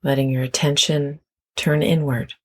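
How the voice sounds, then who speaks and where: A meditation guide giving gentle, unhurried instructions with long pauses between phrases. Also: mid-range voice, flat delivery.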